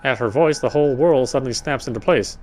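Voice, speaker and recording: A man's voice: medium pitch, quick pace, darkish tone. A man reading a horror story aloud in dramatic narration, sometimes stumbling and rereading phrases. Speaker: gameplay streamer reading a visual novel aloud. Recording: music behind the voice. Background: game music.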